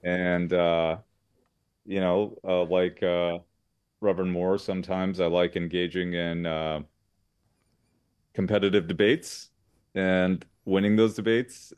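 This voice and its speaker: An American man from Southern California, a lawyer speaking as an interview guest, earnest and measured with many fillers. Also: low voice, level tone, darkish tone, clear, resonant tone, no echo. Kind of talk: interview conversation.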